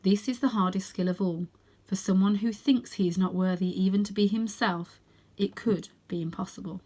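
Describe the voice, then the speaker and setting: A wise old tomcat mentor from a children's storybook, read by a woman teaches in measured, solemn phrases. He sounds grave and instructive, an ancestor passing on his lore. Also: medium pitch, steady tone, warm tone, natural voice. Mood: grave, teaching.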